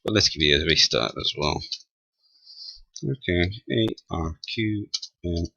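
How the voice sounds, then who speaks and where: A man giving a casual, unscripted technical demonstration as a radio hobbyist, talking haltingly and half muttering to himself as he works. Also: deep voice, lively intonation, creaky, bright, forceful tone, husky, no echo, muffled recording. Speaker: amateur radio operator demonstrating equipment.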